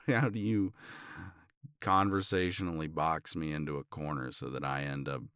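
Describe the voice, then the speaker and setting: A man playing a tender, teasing older boyfriend in an intimate romance ASMR roleplay, murmuring in a halting, playful way. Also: a bass voice, a bit bright, clear, resonant tone, no room sound, clean studio sound, heavily acted. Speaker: audio roleplay voice actor.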